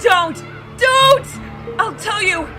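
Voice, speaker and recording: A woman voicing a naive, excitable, simple young boy with a childlike manner in an audio story. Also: extremely high pitch, very slow, full character voice. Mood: frightened, pleading. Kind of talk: audio comic story acting. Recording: music under the voice.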